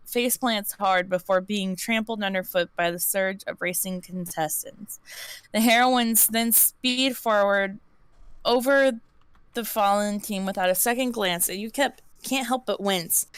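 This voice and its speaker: A woman reads an interactive story aloud on a live stream. She has a smooth, clean voice, recorded dry and close. She stumbles now and then and restarts the word she misread. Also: medium pitch, a bit bright, dry recording.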